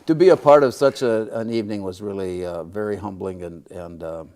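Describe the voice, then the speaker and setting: An older man with a Midwestern American accent, talking modestly and in wordy, measured phrases as an interview guest. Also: on the low side, a little mellow. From small-town upper Midwest, United States. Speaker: radio play-by-play broadcaster, interview guest. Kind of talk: casual interview chat.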